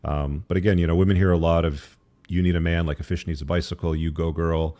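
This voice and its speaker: A man's voice: low-pitched, little pitch movement, quick pace, dark tone. A man speaking as an interview guest, explaining his opinions in a conversational, opinionated way. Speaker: podcast guest, author.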